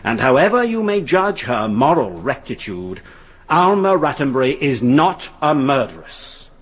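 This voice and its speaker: Impassioned, husky-voiced defence barrister. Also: male voice, mid-range voice, big pitch swings, measured pace. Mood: solemn, impassioned. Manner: rhetorical, solemn, emphatic. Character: grave, impassioned male defence barrister addressing a jury. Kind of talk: courtroom oratory in radio drama.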